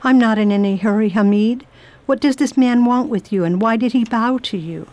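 A woman's voice: on the high side, darkish tone, lightly acted. Woman as a firm lady traveler. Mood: brisk, commanding. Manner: brisk, commanding. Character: firm, brave lady traveler nursing a patient. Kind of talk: radio drama acting.

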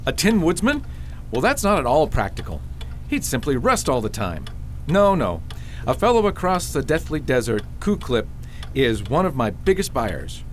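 A man's voice: medium pitch, wildly swooping pitch, moderate pace, a little hiss or hum. A man playing a practical, protective father and tin merchant in a radio fairy tale, speaking earnestly. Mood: matter-of-fact.

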